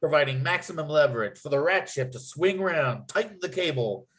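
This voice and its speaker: A man's voice: a little high, lively intonation, a little fast, fairly bright tone. A man reading out screenplay scene headings and action as the narrator of a script table read. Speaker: narrator and writer.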